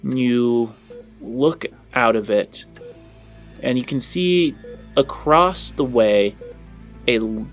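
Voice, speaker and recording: A man, improvising scene descriptions as a game master. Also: medium pitch. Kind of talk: improvised tabletop game narration.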